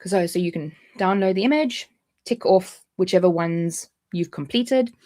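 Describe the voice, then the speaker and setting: A woman's voice: on the low side, measured pace, mellow. A woman with a South African accent hosting a casual livestream, chatty and jumping between points with frequent restarts. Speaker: livestream host.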